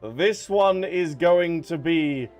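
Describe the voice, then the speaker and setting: A man's voice: fairly high pitch, takes it slowly, darkish tone. A man doing casual live game commentary as a streamer, playful and chatty, reacting aloud. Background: game music.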